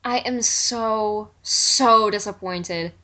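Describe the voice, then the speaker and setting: A woman's voice: mid-range voice, drawn-out words, bright, forceful tone. A woman giving blunt, exasperated critique in a casual group conversation. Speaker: online video commentator.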